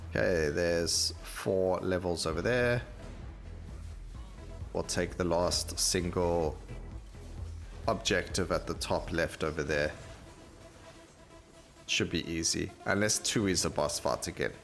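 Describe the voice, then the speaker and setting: A man's voice: sits low, slow pace, gravelly, long pauses, fairly bright tone. A man hosting a gaming video, casually thinking aloud and explaining his choices to viewers as he plays. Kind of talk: casual gameplay commentary. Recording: game music behind the voice. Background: video game music.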